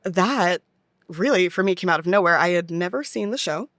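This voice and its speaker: A woman's voice: on the low side, lively intonation, normal speed, fairly bright tone, no echo. Chatty young American woman, dry close sound. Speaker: podcast guest, touring musical theatre actress. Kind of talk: casual conversational storytelling.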